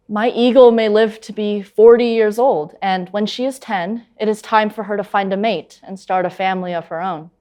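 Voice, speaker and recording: An actress playing a young, proud eagle huntress in an earnest, intense dramatic monologue. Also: female voice, medium pitch, a little mellow, close to an everyday voice. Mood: wistful, proud. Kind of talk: dramatic stage monologue, vivid storytelling.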